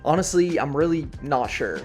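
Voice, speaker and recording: A man hosting a video essay, giving frank, informal, halting commentary straight to the viewers. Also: a little high, lively intonation, faint music behind. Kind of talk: candid casual commentary to the viewers.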